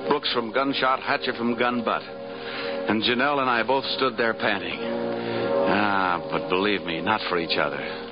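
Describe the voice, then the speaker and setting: An actor playing a cynical, wisecracking insurance investigator with deadpan delivery in an old radio detective drama. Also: male voice, on the low side, unhurried, lightly acted. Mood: tense narration. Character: wry, cynical hard-boiled male insurance investigator. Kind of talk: 1940s radio detective drama acting and narration. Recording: muffled old radio recording with loud music.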